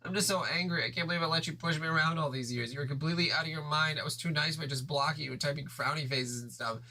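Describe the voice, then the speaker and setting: A man's voice: on the high side, fast, pushed and bright, pitched above the performer's own voice, rough recording. A man voicing an exasperated young girl who scolds sharply in a raised voice.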